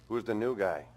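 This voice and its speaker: A man's voice: on the low side, full character voice. A man playing a sullen, bored mob driver in a video game, complaining as he talks. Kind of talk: video game character acting. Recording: clear noise and clicks behind.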